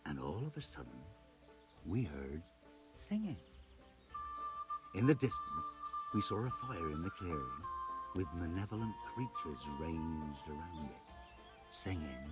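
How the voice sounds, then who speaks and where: Boastful, swooping tavern storyteller. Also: male voice, deep voice, heavily acted. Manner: melodramatic, self-important, indignant when interrupted. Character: boastful tavern storyteller, idle, thirsty man. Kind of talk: theatrical tall-tale storytelling.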